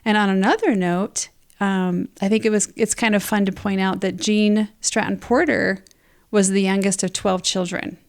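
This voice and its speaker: A woman's voice: medium pitch, fairly even intonation, normal speed. Woman co-hosting a discussion podcast. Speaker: podcast co-host. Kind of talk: book discussion podcast, part casual chat, part reading prepared notes.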